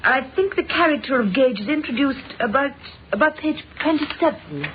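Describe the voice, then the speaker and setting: An actress playing a polite, composed British wife in an old radio drama, speaking in a courteous, measured and apologetic way. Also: female voice, high voice, animated, phone-quality sound. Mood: helpful, composed. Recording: thin, noisy old radio recording.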